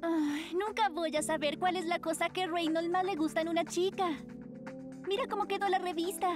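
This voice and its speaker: A woman's voice: very high-pitched, fairly even intonation, moderate pace. A woman voicing a gushing, starstruck teenage girl in a cartoon dub. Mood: fretful. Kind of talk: animated cartoon dub acting. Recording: loud music behind.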